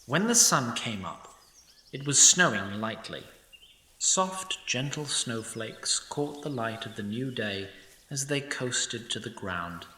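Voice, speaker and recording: A man giving scripted narration of a fantasy audio drama with an expressive storytelling delivery. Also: medium pitch, slow pace, reverberant, far from the mic, slight background noise.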